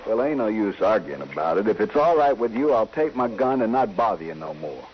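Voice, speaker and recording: A low-pitched cowboy, proud but troubled, a gunfighter who gave it up. He talks in plain, folksy rustic phrases. The sound is a muffled vintage radio western with some hiss. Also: very animated, fairly quick. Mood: afraid, stubborn. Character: troubled reformed gunfighter, rancher and father, proud, anxious. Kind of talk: old-time radio western acting. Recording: muffled, noisy old radio recording.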